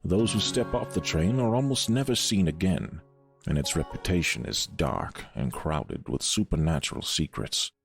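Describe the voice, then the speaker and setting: Dramatic male narrator for dark drama: gravelly, foreboding, set over clear music. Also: low-pitched, expressive, moderate pace. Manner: dramatic, ominous. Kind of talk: ominous scripted story narration.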